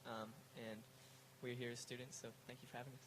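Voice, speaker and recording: A man's voice: medium pitch, flat delivery, normal speed, background noise. A man studying biology, introducing himself politely and hesitantly. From South Carolina, United States. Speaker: biology student.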